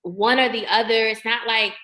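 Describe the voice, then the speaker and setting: A woman speaking as a guest psychologist on a podcast, with a Detroit accent, animated and upbeat in casual conversation. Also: a little high, normal speed. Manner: animated, upbeat, laughs often.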